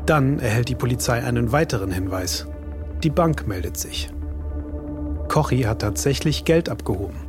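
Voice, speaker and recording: A man narrates a true crime documentary in a dramatic, scripted style. His voice sits at a medium pitch, with prominent music running underneath. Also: expressive, moderate pace. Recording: loud suspense music underneath.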